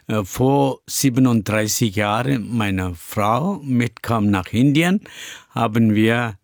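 Slow man, gravelly. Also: mid-range voice, no room sound, studio quality. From India. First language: Tamil. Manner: slow, searching for words, many fillers. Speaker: pastor and church founder. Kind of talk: interview answers in halting German.

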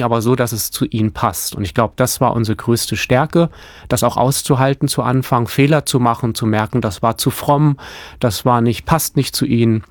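A man's voice: mid-range voice, a little mellow, no echo, studio quality. A man from Hamburg, a church planter, answering interview questions thoughtfully, often restarting his sentences.

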